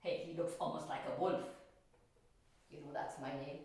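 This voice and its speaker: Older female art teacher, gentle and chatty, recorded from across a very echoey classroom. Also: sits low, steady tone, moderate pace. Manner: gentle, chatty, talking to herself while painting. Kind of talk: painting demonstration for children. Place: classroom in front of a chalkboard. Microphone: far camera. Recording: echoey room from far off.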